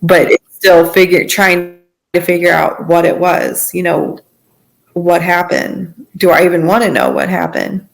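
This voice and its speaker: A woman speaking as a podcast guest, giving a reflective, halting personal testimony in a conversational way. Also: lowish voice, fairly even intonation, creaky, poor audio.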